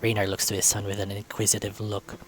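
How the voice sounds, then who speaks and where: A man with a fairly low voice reads a visual novel aloud. He delivers the prose as storytelling narration, scene by scene. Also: completely flat delivery, quick pace, slight background noise. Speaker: visual novel narrator, let's-play reader.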